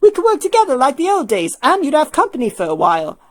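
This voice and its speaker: A woman's voice: high voice, wildly swooping pitch, fast talker, pitched above the performer's own voice. A woman voicing a friendly, eager helper and old companion in a story reading.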